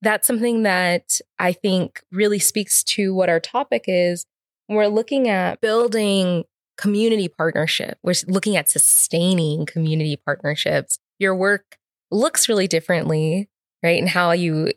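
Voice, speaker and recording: A woman's voice: on the low side, normal speed, no echo, clean studio sound. A woman co-hosting a talk podcast, introducing guests and responding in conversation. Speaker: podcast co-host.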